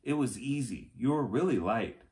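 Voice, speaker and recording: A man's voice: mid-range voice, lively intonation, normal speed, warm tone, natural voice. A man voicing a playful, daring young girl in a children's storybook, bold and breezy. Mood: eager, breezy.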